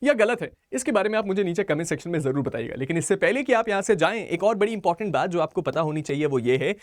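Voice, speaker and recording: Male lecturer, high voice, dry sound; emphatic explainer for exam students, switching into English for key terms. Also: lively intonation, quick pace, dry recording. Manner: emphatic, mixes English legal terms into Hindi. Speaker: law exam coach.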